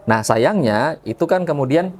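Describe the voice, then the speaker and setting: A man's voice: fairly high pitch, animated, moderate pace. A man speaking as a religious podcast guest, explaining things conversationally and often checking in with tag questions. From Indonesia.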